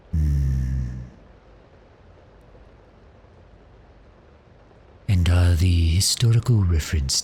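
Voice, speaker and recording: A man playing a cheerful, courteous vampire posing as a customer, speaking politely and gently in an ASMR roleplay. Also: extremely low pitch, a little hiss or hum, heavily acted. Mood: calm, courteous. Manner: polite, unhurried, softly genteel with measured pauses.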